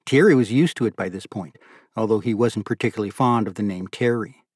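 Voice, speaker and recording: A man's voice: lowish voice, a little fast, darkish tone, slightly rough, dry recording, clean studio sound. A man narrating literary fiction as a scripted audiobook.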